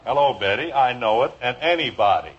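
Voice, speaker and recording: A man's voice: medium pitch, lively intonation, brisk, natural voice. An American actor playing a breezy, joking, distracted middle-aged father in a 1950s radio sitcom. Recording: noisy, muffled old radio recording.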